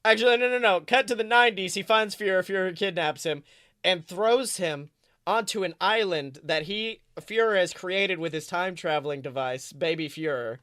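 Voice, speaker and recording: A man's voice: sits high, moderate pace, sharp tone, no room sound, studio quality. A man co-hosting a podcast, improvising a comic story in a rambling, self-correcting, playfully dramatic way. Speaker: podcast co-host.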